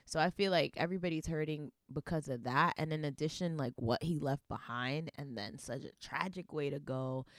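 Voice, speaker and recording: Low voiced newark woman, laid back podcast talk, dry mic. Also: moderate pace, hardly any pauses, no echo. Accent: Newark, New Jersey. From Newark, New Jersey. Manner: relaxed, reflective talker. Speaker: podcast co-host. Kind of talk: casual podcast chat.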